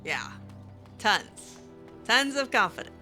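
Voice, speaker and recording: A woman's voice: on the high side, very animated, slow, sharp tone, soft background music. A woman giving casual, playful in-character commentary while roleplaying through a video game. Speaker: gamer doing a roleplay playthrough.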